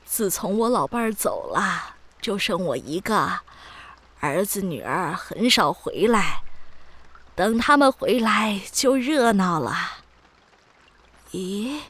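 An elderly grandmother in an audio drama. She talks slowly in a husky voice, kindly and chatty, with a fretful streak. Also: mid-range voice, lively intonation, a bit bright. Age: older. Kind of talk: Mandarin audio drama acting.

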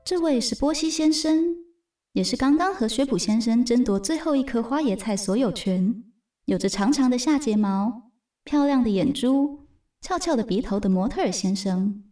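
A female narrator with a fairly high, soft-toned voice. She tells a scripted radio drama in storybook fashion, sitting a little back from the mic. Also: animated, normal speed, distant mic.

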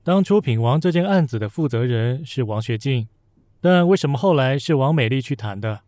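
A man's voice: medium pitch, lively intonation, a little fast, a little mellow, no echo, close to an everyday voice. A man voicing a cool, spiteful young male general manager who speaks curtly. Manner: curt and dry. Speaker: voice actor in an audio drama. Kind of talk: modern audio drama acting.

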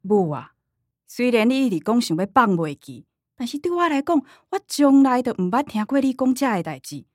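A woman's voice: on the high side, very animated, moderate pace, a little mellow, dry recording, studio quality. A woman playing a concerned middle-aged daughter and mother with a Taiwanese accent in a radio drama. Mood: hurt, pleading.

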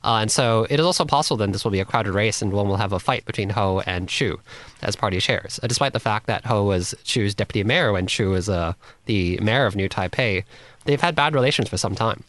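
A man's voice: lowish voice, fairly quick, fairly bright tone, dry recording. A man giving political analysis on a news panel, hedging often with "I think" as he lays out his points.

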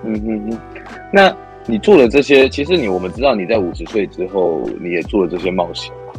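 Very deep-voiced woman host, lively and encouraging. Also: brisk, faint music behind. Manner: encouraging, joking, frequent affirming murmurs. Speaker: radio show host. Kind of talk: interview chat.

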